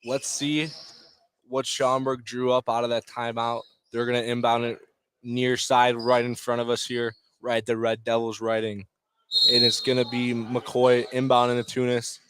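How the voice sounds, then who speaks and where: A male play-by-play announcer calls a basketball game live for radio. He speaks in clipped phrases and gets excitable on big plays. His voice sits at a middling pitch, with clear gym noise around it. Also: normal speed.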